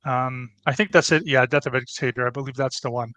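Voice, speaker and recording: A man's voice: medium pitch, steady tone, quick pace, bright, forceful tone, no room sound. A man presenting a history topic on a casual podcast, with many fillers and restarts.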